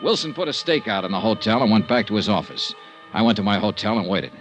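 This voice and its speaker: Casting: man playing cool American private eye, low voice, dry clipped narration, old-time radio drama with music behind. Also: expressive, fast talker, lightly acted. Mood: matter-of-fact narration. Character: wry, cool male private investigator narrating his case. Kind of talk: 1940s-50s radio detective acting.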